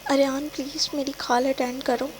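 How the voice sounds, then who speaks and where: A woman's voice: little pitch movement, a little hiss or hum, lightly acted. A woman voicing a desperate young woman who pleads tearfully and cries in a storybook reading. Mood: distraught. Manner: tearful, pleading, high-pitched.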